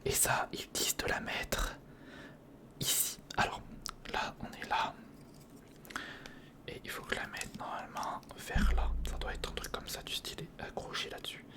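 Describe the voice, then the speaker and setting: A man speaks in a breathy whisper close to the microphone, murmuring casual hands-on ASMR commentary in fragments, as if thinking aloud. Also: normal speed, pauses often. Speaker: ASMR video creator. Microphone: close recorder microphone.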